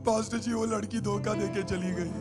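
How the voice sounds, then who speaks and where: A male pastor preaching a sermon, swinging from hushed asides to shouted exhortation. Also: high voice, fairly even intonation, normal speed. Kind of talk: Hindi sermon with English phrases. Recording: sermon with music behind. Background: music.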